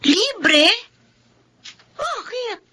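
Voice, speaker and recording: An actress playing a sweet, grateful younger sister in a Tagalog radio drama. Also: female voice, extremely high pitch, sing-song, extremely slow, pushed and bright, slight background noise, heavily acted. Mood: amazed, delighted.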